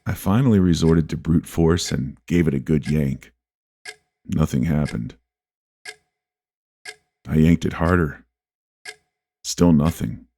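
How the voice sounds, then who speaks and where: A man narrating a scripted first-person horror story in a measured, deliberate way with dramatic pauses. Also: a bass voice, dark tone.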